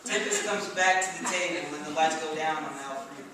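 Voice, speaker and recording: A man's voice: on the high side, brisk. A man reading stage directions as scripted narration. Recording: echoey hall, distant, noisy.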